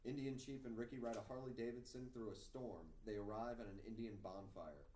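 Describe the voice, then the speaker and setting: A man's voice: mid-range voice, fairly even intonation, brisk, dark tone. A man giving scripted narration as he reads stage directions at a table read. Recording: echoey room, distant mic, faint noise.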